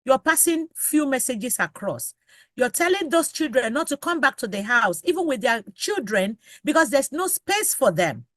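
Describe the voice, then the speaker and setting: A woman's voice: high-pitched, big pitch swings, normal speed, fairly bright tone, dry recording, studio quality. A woman, a pastor, advising on a panel talk show, listing examples one by one and urging listeners directly. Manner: lists examples in sequence, urges the listener directly.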